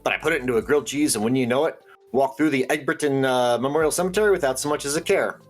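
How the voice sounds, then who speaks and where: An actor playing an eccentric, conspiracy-minded late-night radio host in an audio drama, theatrical, folksy and rambling. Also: male voice, medium pitch, fast talker, soft background music, close to an everyday voice. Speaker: radio call-in show host.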